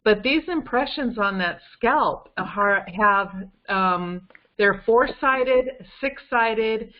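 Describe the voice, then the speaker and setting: A woman with a mid-pitched voice speaks conversationally, explaining details with insistence and emphasis. She is on a conference call, and the sound is slightly dull and lo-fi. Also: takes it slowly. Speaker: guest on a case-discussion call.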